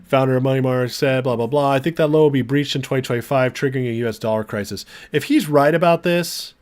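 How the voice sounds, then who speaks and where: A man's voice: medium pitch, quick pace, darkish tone. An American man hosting a casual livestream, chatting informally and chummily with viewers and reading their comments, his voice starting to give out as he recovers from illness. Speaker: livestream host.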